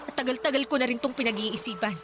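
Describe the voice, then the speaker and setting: An actress playing a fierce, protective older sister with a feisty, scolding way of talking in a radio drama. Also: female voice, fairly high pitch, fast, over music, close to an everyday voice. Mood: resentful. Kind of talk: Filipino radio drama acting.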